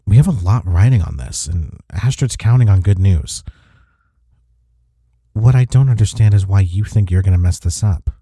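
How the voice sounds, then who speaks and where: A man acting in an intimate audio roleplay as a gruff but caring office rival, speaking in a husky, soft, reassuring way. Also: deep voice, animated, moderate pace, no room sound, studio quality. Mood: attentive, gently teasing.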